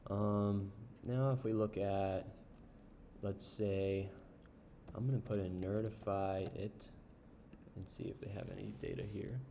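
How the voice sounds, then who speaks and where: A man casually reviewing an online service while browsing its pages, unhurried, with frequent ums and uhs. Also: on the low side, steady tone, gravelly, noisy background. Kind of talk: casual screen-share review.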